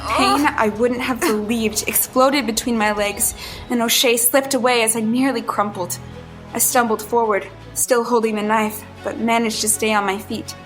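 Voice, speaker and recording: An actress playing a hunted woman who tells her story as tense, deliberate first-person audio drama narration. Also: a little high, fairly even intonation, fairly bright tone, faint music behind, lightly acted, poor audio. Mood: watchful, tense.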